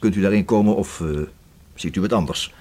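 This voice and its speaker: Low-voiced, formal Dutch police inspector. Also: male voice, mellow, slightly rough. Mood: polite, probing. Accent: Netherlands Dutch. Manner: formal, courteous, measured and insistent. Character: polite, persistent male police inspector. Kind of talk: radio play acting.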